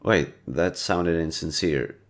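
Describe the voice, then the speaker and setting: A man's voice: deep voice, takes it slowly. A man playing a kind, teasing, easily flustered young guy in a roleplay audio, talking casually and playfully straight to the listener. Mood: caring, gentle. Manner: playful, casual and slangy, with muttered asides.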